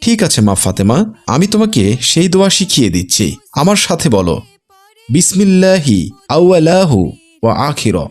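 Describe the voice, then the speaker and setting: Man as a cartoon dad, gentle, patient, didactic, widely swinging intonation. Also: a little high, big pitch swings, normal speed. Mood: patient, instructive. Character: gentle, instructive father of a small girl. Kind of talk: scripted children's cartoon dubbing.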